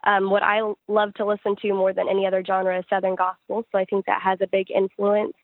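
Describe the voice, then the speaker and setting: Even-toned woman giving interview answers. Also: medium pitch, fast, no room sound, phone-quality sound. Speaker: interview guest, gospel singer-songwriter.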